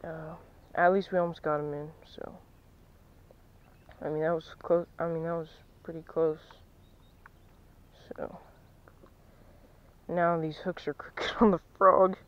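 A young man, vlogging as he fishes from a lake bank, chatty and excitable, dropping to a near-whisper at times. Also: on the high side, takes it slowly, long pauses, clean tone, lo-fi sound. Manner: chatty, excitable, drops to near-whisper while stalking fish. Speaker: fishing vlogger. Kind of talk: casual vlog narration. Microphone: chest-mounted action camera.

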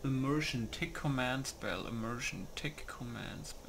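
A man's voice: mid-range voice, a little slow, background noise. A man giving casual gameplay commentary, muttering as he reads menu options aloud. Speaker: gamer recording a playthrough. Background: music.

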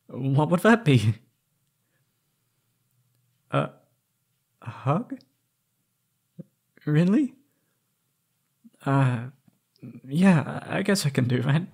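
A man's voice: mid-range voice, vocal fry, exaggerated voice. A man playing a timid, frightened young male captive in an ASMR roleplay drama, stammering nervously and hesitating. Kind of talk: ASMR roleplay audio drama, intimate monologue.

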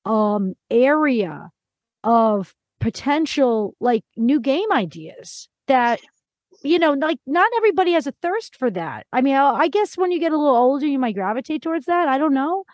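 A middle-aged woman hosts a casual interview in a fairly high voice. She talks in a chatty, animated way, and the sound is close and dry. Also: moderate pace, no echo. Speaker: podcast host.

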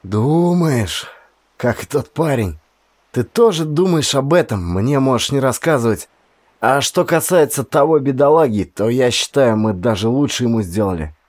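Audiobook role: ailing young man voiced by a man, higher voice, stubborn and needling. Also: lively intonation, moderate pace, darkish tone, no echo, natural voice. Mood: insistent. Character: sick young man, Needles, mocking, stubbornly stoic friend.

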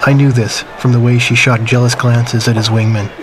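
An actor playing a wistful man recalling his wartime youth in measured, somber, reflective narration for a game. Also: medium pitch, little pitch movement, noisy background, close to an everyday voice. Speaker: story narrator. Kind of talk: scripted reflective first-person narration.

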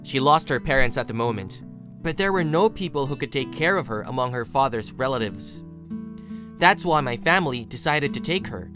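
Very deep-voiced woman narrating a story. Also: expressive, normal speed. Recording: music behind the voice. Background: plucked guitar music.

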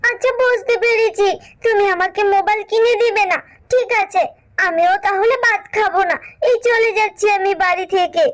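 A woman's voice: very high-pitched, normal speed, no echo, clean studio sound. A woman voicing a sulky, pleading little animal girl in a children's cartoon, whiny and insistent. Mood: sulky, defiant. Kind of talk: Bengali children's cartoon voice acting.

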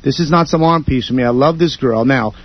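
A man's voice: mid-range voice, brisk, slightly rough, no echo, lo-fi sound. A man arguing as a radio guest in a blunt, streetwise, combative way. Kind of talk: heated unscripted argument.

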